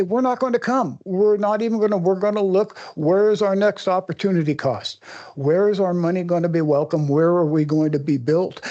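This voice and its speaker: A man's voice: high voice, mellow, muffled recording. A man giving a public comment to a city council, urgent and somewhat rambling as he pleads his case.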